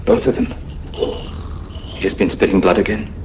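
An actor playing a formal, concerned ship's captain in a radio drama, speaking with polite, measured authority. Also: male voice, low voice, huge pitch swings, a little mellow, slight background noise, full character voice, low-quality recording. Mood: grave concern.

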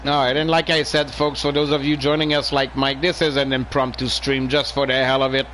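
A man's voice: medium pitch, flat delivery, fairly bright tone, slight background noise, poor audio. A man hosting his own wrestling game stream, calling the match in a loose, teasing way and trading jokes with his co-hosts. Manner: teasing, joking, quick to laugh. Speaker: stream host and commentator. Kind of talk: casual play-by-play and banter.

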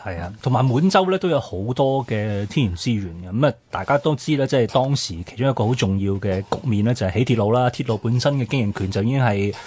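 A man's voice: mid-range voice, expressive, fast talker, hardly any pauses, darkish tone, breathy edge. A man with a Hong Kong Cantonese accent, co-hosting a radio history talk and explaining things informally with chatty asides. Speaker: radio co-host.

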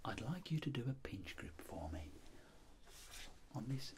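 A middle-aged man whispering as a doctor in an ASMR roleplay, giving gentle, polite step-by-step instructions. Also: fairly low pitch, big pitch swings, unhurried, slight background noise. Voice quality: soft whisper. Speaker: ASMR performer playing a doctor.

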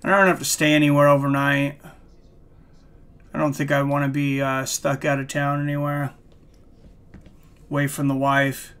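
An American man hosts a sports stream in a fairly high, sharply bright voice. He moves between play-by-play and casual chat. His delivery is conversational and halting, as if thinking while he watches. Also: completely flat delivery, smooth. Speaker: live stream boxing play-by-play host. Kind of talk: casual commentary mixed with chat. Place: small room, indoors, streaming at a desk.

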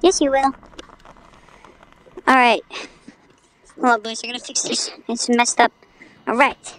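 A game streamer with a high-pitched voice-changer effect, chatting in a goofy, exclamatory way on a livestream. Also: steady tone, unhurried, a bit bright, low-quality recording.

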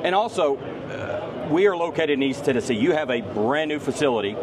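A man's voice: on the high side, expressive, moderate pace. A man, hosting a podcast interview on a trade show floor in an upbeat, enthusiastic way. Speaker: podcast host. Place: busy expo hall floor. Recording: crowd noise in a busy hall.

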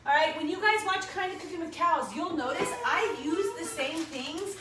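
A woman's voice: very high-pitched, expressive, normal speed. A woman in her thirties chatting casually to viewers as she cooks in her home kitchen, upbeat and self-mocking, recorded by a camera across the room. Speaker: home cooking vlogger. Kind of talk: casual cooking demo to camera. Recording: echoey kitchen, distant mic, background noise.

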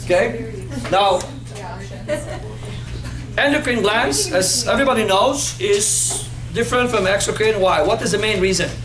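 A man in his fifties teaching anatomy in a classroom, playful and animated, quizzing his students as he goes. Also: sits high, unhurried, long pauses. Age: 50s. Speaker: anatomy instructor. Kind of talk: classroom lecture with call-and-response. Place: classroom in front of a whiteboard. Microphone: far camera. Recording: echoey classroom with background noise.

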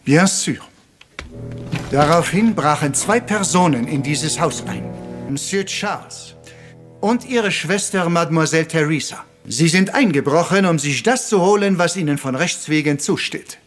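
Ceremonious little detective, higher-pitched voice. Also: male voice, lively intonation, soft background music. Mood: measured, revealing. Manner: formal, deliberate revelations sprinkled with French phrases. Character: shrewd, ceremonious little Belgian detective, theatrical and stern. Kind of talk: 1980s-style radio crime drama acting.

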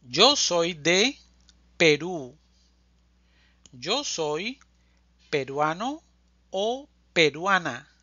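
A language teacher reading a scripted drill slowly, enunciating deliberately phrase by phrase, as a close voice-over. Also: mid-range voice, sing-song, pushed and bright, dry recording, highs cut off. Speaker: Spanish teacher.

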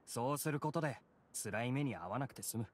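An actor playing a friendly, earnest inmate in an anime game, explaining things in a plain-spoken way. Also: male voice, medium pitch, lively intonation, a little fast, a little hiss or hum, lightly acted. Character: friendly, earnest male inmate in a mutual aid group.

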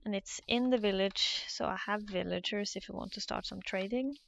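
A woman's voice: mid-range voice, moderate pace, vocal fry, fairly bright tone, slight background noise. A Norwegian woman with a Norwegian accent, hesitantly commentating her own Minecraft play in a casual, nervous way. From Norway. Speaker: Minecraft let's player.

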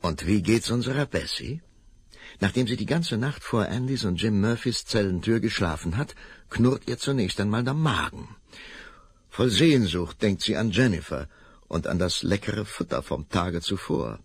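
A man's voice: fairly low pitch, expressive, normal speed, husky, dry recording. A man narrating a children's radio play, telling the story vividly and dramatically.

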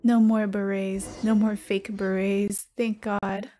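A woman with a slightly hoarse voice, chatting casually as she reacts to a video game on stream. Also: medium pitch, flat delivery, drawn-out words, mellow, slight background noise. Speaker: vtuber streamer. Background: video game music.

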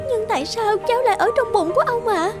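A woman voicing a gentle, anxious young maiden in a cartoon fairy tale, politely and plaintively. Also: very high voice, expressive, quick pace, over music. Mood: confused.

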